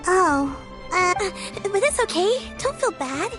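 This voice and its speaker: A plucky little girl from a video game. Her voice is very high, sweet and earnest, with polite, youthful delivery over clearly audible music. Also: very animated, slow, heavily acted. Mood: brave, tender.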